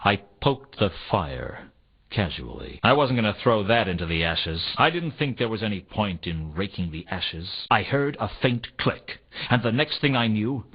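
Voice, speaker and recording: Wry, world-weary noir gumshoe for a video game: deadpan but widely swooping delivery, slightly dull compressed audio. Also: male voice, lowish voice, slightly rough. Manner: deadpan, sardonic, measured musing. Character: weary, wry male private detective in noir fantasy. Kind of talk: scripted noir first-person narration for a video game.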